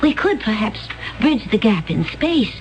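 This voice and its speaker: An actress playing a calm, mysterious woman from another planet, speaking in a solemn, measured way in a 1970s radio drama. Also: on the high side, soft background music, poor audio.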